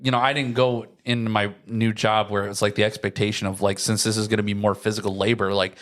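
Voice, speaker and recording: A man's voice: on the low side, little pitch movement, quick pace, a bit bright. A young man, chatting casually as a podcast co-host in a rambling, anecdotal, slangy way. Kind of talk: casual chat.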